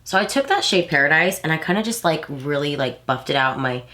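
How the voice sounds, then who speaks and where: A woman's voice: low voice, animated, a little fast, a bit bright. A woman in her thirties with a stuffy, nasal voice, chatting casually as she does a makeup tutorial for her channel. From Houston, Texas. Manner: chatty, rambling asides with many fillers. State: stuffy nose from allergies. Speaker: beauty vlogger.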